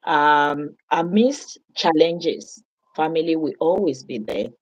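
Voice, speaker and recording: A Yoruba-speaking woman from Nigeria with a Nigerian accent, calmly telling her story as an interview guest. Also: deep voice, slow pace, no echo, muffled recording. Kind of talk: interview answers.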